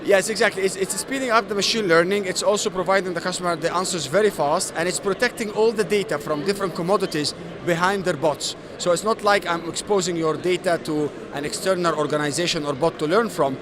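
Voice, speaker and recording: A man from Egypt with a slightly high voice explains products in a long interview answer, pausing often on "uh". He is recorded on a busy trade show floor, with clear noise all around. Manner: explains at length with frequent "uh" fillers. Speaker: solution architect.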